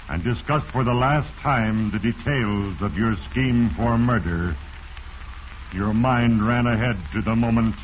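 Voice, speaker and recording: An ominous, low-pitched narrator of doom, played by a man, speaks with portentous deliberation and accusation. The 1940s radio mystery recording is muffled, with faint noise. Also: close to an everyday voice. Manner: portentous, deliberate, accusing second-person address. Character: ominous male Fate narrating a schemer's crime to him.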